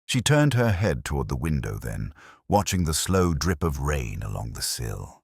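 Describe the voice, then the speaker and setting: A man as a very deep, melancholy inspector. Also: very animated, vocal fry, husky, no room sound, studio quality, exaggerated voice. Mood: brooding, reflective. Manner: measured, reflective, wry. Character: melancholy, erudite older male English police inspector, reminiscing. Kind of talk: slow literary first-person detective narration.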